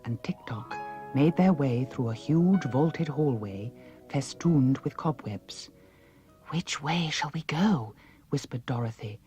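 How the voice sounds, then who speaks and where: A woman's voice: extremely low pitch, warm tone. A woman reading a children's audiobook as a dramatic scripted narration. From United Kingdom.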